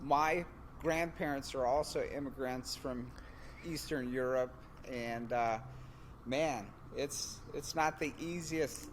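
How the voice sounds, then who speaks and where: A man hosting a podcast interview, relaxed and unhurried with fillers. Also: mid-range voice, slight background noise. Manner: relaxed, with drawn-out phrases and fillers. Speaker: podcast host. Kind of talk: casual interview chat.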